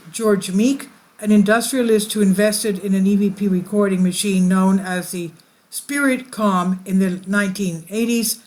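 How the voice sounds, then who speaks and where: A woman's voice: mid-range voice, darkish tone. A woman hosting her channel, reading an article aloud and often stumbling and correcting herself mid-sentence. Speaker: channel host.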